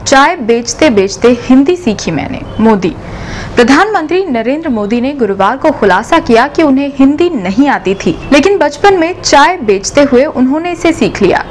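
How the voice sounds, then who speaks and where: A young woman news anchor reading scripted headlines in a formal, even newsreader delivery, recorded in a TV news studio. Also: high-pitched, moderate pace, faint music behind.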